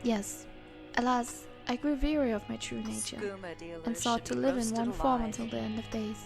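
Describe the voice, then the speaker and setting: A woman's voice: on the high side, fairly even intonation, moderate pace, gravelly. A woman voicing an ancient, weary otherworldly being in a fantasy game, speaking in a grave, formal, archaic way. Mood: solemn, weary. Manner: formal, archaic, solemn storytelling. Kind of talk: fantasy game character monologue. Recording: music under the voice.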